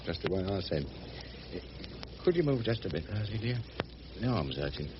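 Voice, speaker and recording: Rough working-class English boatman, low-voiced. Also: big pitch swings, normal speed. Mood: wry, worried. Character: rough, good-hearted, excitable working man, a riverboat mechanic. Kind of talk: BBC radio drama acting. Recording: dull, noisy old radio recording.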